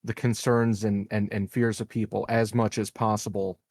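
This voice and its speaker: A man's voice: fairly low pitch, level tone, normal speed, darkish tone, no room sound, clean studio sound. A man playing a tabletop game, talking casually and hesitantly as he thinks his choices through aloud.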